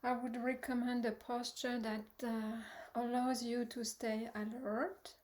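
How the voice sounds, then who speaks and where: Slow, even-toned woman guiding meditation. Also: a little high. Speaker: meditation teacher. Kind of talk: guided meditation instruction.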